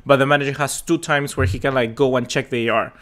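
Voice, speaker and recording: A man's voice: medium pitch, steady tone, quick pace, fairly bright tone. A young man from Peru hosting a live football reaction, talking passionately and with exasperation, rambling and restarting his sentences. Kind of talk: live match reaction chat.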